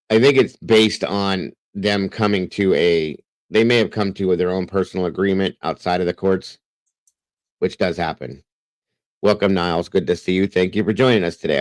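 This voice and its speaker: A man's voice: deep voice, no room sound. A man hosting a true crime livestream, talking casually and rambling, breaking off now and then to address his viewers. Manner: rambling, conversational, breaks off to greet chatters.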